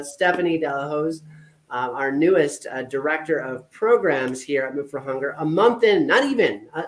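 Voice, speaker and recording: A man from a nonprofit team, chatting casually and often repeating words as he starts sentences. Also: fairly high pitch. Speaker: nonprofit staff member. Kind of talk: casual video-call chat. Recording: echoey room.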